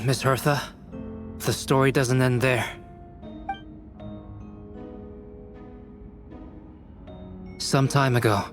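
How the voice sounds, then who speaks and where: A man voicing a calm, cerebral young alchemist in a fantasy video game, speaking with measured, formal politeness. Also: lowish voice, close to an everyday voice. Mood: grave, firm. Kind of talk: dramatic video game voice acting. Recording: music behind the voice.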